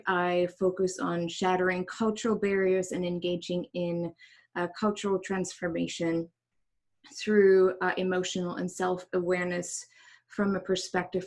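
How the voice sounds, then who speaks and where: A woman's voice: lowish voice, level tone, moderate pace. Woman on a video call. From Germany. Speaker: panelist. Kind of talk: online forum introduction.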